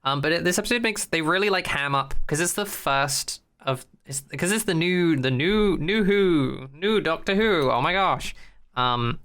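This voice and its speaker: A young man on a casual podcast, speaking in a clear, crisp voice. He is blunt and sarcastic, swearing offhandedly and mocking what he watched. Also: a little high, lively intonation, normal speed, bright, forceful tone. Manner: blunt, sarcastic, swears casually, mocks the show with exaggerated quotes. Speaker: podcast co-host. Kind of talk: casual chat.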